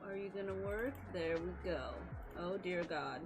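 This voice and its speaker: A woman's voice: lowish voice, moderate pace, over music. A woman streaming live with a scratchy voice, chatting casually, apologizing often, swearing and laughing a lot.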